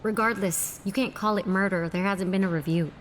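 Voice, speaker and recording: A woman's voice: mid-range voice, fairly even intonation, brisk, slight background noise, natural voice. A woman playing a sardonic, defiant strike team agent in a drama, dry and challenging. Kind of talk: dramatic dialogue.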